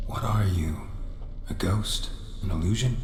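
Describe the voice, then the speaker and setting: A man playing a grave, restrained adventurer in a video game, speaking in a low-key and solemn manner. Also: measured pace, background noise. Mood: quietly probing. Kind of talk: dramatic video game acting. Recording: echoey, with clear music behind.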